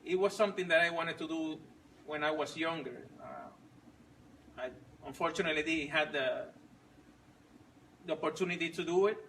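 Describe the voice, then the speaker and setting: A man in his forties, not a native English speaker, telling his story slowly with many pauses and restarts. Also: a little high, creaky, a bit bright, far from the mic, a little hiss or hum, rough recording. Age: 40s. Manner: halting, many fillers and restarts. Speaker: fit club member sharing his story. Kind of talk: casual personal story.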